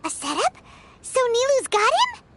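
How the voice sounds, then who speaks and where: A woman voicing a tiny, excitable childlike companion in a video game. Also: extremely high pitch, moderate pace, exaggerated voice. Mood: panicked, surprised. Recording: loud noise behind the voice.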